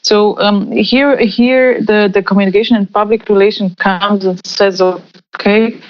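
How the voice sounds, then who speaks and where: A woman in her forties who grew up in Romania, answering interview questions slowly with many fillers and restarts. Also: medium pitch, steady tone. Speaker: guest. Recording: muffled lo-fi remote call audio.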